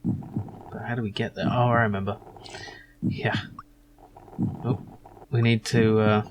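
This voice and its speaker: A man's voice: fairly low pitch, level tone, unhurried, lo-fi sound, noisy background. A man giving casual, musing commentary as he plays a game. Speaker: let's play host. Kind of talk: casual game commentary, reading lines aloud.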